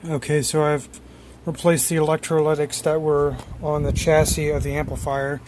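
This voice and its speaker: A man's voice: fairly high pitch, moderate pace. A man giving casual hands-on repair commentary in an informal, self-deprecating way. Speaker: hobbyist electronics repairer. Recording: clear steady background noise.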